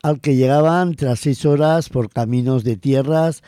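A man hosting a radio program, reading scripted narration in a measured way. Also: mid-range voice, dark tone, no echo, clean studio sound. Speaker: radio host. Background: music.

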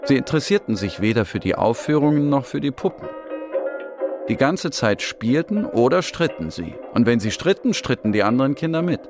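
A man's voice: mid-range voice, very animated, fairly quick. A man playing an earnest Syrian puppeteer who narrates his refugee-camp story in a scripted radio drama. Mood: wistful, narrating. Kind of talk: scripted radio-drama narration, German voice-over of an Arabic speaker. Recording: music behind the voice. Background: music.

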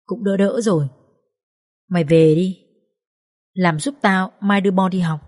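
A weary young mother voiced by a woman narrator speaks in a low, subdued voice. She sounds heartbroken and resigned, as in audio-story dialogue. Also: fairly even intonation, a little slow, darkish tone.

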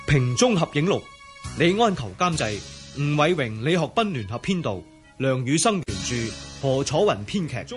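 A male announcer with a Hong Kong Cantonese accent reads radio drama credits. His delivery is animated, moving widely in pitch over clear background music. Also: moderate pace.

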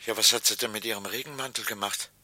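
A detached widower in old german radio drama, low-ish, really bright tense voice, subdued delivery. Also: male voice, fairly even intonation, fast talker, no room sound. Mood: numb. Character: husband who found his murdered wife, subdued, detached.

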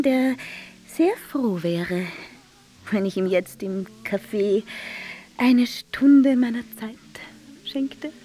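A woman playing a capricious, coquettish sweetheart from old Vienna in a literary recital, with theatrical, drawn-out phrasing. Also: on the high side, darkish tone. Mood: reproachful, pleading. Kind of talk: dramatic literary recitation over music.

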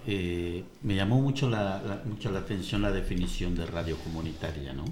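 A man with a Guatemalan accent, a community radio co-host, politely asking a guest questions in a slightly halting way. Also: low-pitched, a little mellow, a little hiss or hum.

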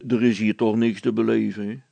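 Man as a hesitant, gravelly village policeman. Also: on the low side, level tone, brisk, dark tone, dry recording. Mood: reluctant. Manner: hesitant, stammering, mumbling. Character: timid, hesitant village policeman pretending to be brave.

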